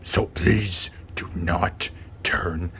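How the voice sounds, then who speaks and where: A man voicing a lovable, easily frightened furry monster in a children's storybook puppet show, with a raspy voice and melodramatic delivery. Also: deep voice, fairly even intonation, pushed and bright, slight background noise, full character voice. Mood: hushed, secretive. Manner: melodramatic, drawn-out, emphatic delivery without contractions.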